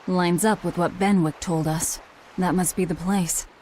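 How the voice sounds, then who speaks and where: An actress playing a cool, hard-edged young antiheroine in a video game, curt, dry and commanding. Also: female voice, lowish voice, steady tone, unhurried, darkish tone, a little hiss or hum, lightly acted, low-quality recording. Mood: flat, cool. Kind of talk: English video game voice acting.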